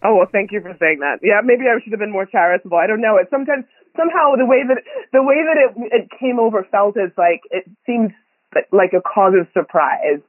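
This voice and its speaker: A woman's voice: medium pitch, brisk, no room sound. A woman from Kenya, a podcast guest, talking reflectively with many ums and frequent laughs. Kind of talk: conversational interview. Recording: tinny phone line, dry.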